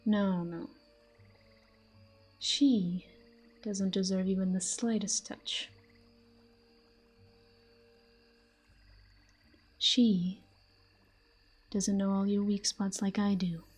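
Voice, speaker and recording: A woman playing a possessive, teasing fantasy villain in an intimate audio roleplay, with a soft, breathy voice and a smug manner. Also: mid-range voice, steady tone, takes it slowly, pauses often, soft background music. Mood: flirtatious, intimate. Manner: smug, taunting, breathy murmurs. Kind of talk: intimate scripted audio roleplay addressed to the listener.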